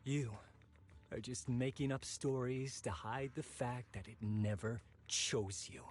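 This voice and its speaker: An actor playing an ambitious, ruthless zealot in a video game, husky-voiced, bitter and taunting in a theatrical way. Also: male voice, medium pitch, steady tone, takes it slowly, darkish tone, faint music behind, natural voice. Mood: contemptuous, menacing. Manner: theatrical, taunting, sneering villain delivery. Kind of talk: dramatic video game voice acting.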